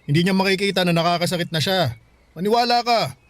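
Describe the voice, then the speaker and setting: An actor playing a caring, baffled father in a radio drama, questioning hesitantly with gentle exasperation. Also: sits high, fast, dry recording. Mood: concerned. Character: worried, baffled, caring middle-aged father. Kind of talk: Tagalog radio drama acting.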